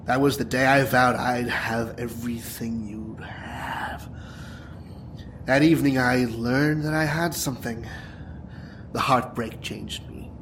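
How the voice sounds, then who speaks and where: A male actor plays a bitter young supervillain in an audio drama. He speaks slowly and tauntingly, with dramatic, very animated swings of pitch. Clear noise and ambience sit behind him. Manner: taunting, dramatic, profane, breaking into whispers and laughter. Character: bitter, wounded, theatrical young male supervillain with mind-control powers.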